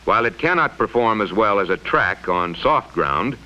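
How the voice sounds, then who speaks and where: Bright-voiced man narrating vintage educational film. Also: fairly low pitch, animated. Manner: measured, formal, explanatory. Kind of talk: scripted mid-century educational film narration. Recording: dull vintage film soundtrack.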